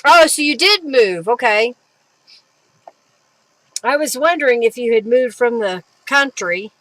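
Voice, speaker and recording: A woman's voice: on the high side, animated, a little slow, fairly bright tone, dry recording, low-quality recording. A woman hosting a craft livestream, casually talking through each step and thinking aloud as she works. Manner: informal, talks to herself and viewers while working. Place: live stream while working with craft materials.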